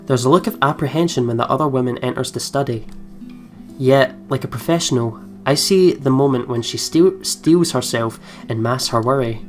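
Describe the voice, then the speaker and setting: A man narrating and commenting on a game as a let's play host. His medium-pitched voice is chatty and reactive, with game music behind him. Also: moderate pace. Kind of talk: gaming commentary and reading aloud.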